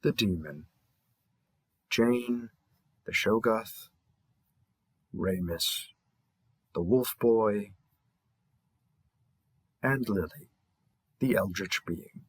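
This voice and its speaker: Man playing a husky, low-voiced monster matchmaker. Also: fairly bright tone, no echo, full character voice. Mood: courteous, inviting. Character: male matchmaker host of a monster dating app, friendly, courteous. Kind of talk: scripted audio roleplay, presenting dating matches.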